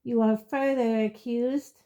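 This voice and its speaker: A woman's voice: fairly high pitch, steady tone, a little slow, dull audio, close to an everyday voice. A woman voicing a harsh middle-aged male judge who bellows his verdicts. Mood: cold fury. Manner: loud, cold, bellowing.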